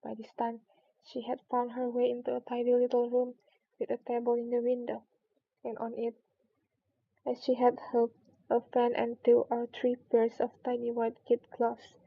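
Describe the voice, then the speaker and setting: A woman's voice: a little high, monotone, normal speed, gravelly, phone-quality sound. A woman narrating a children's storybook, reading it aloud.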